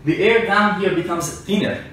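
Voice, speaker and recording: A man with a slightly high voice gives an informal, argumentative explanation. He sits far back from the mic in a very reverberant room, talking through simple everyday examples. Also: normal speed, a bit bright. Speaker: explainer, self-described engineer and scientist. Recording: echoey room, distant mic, faint noise.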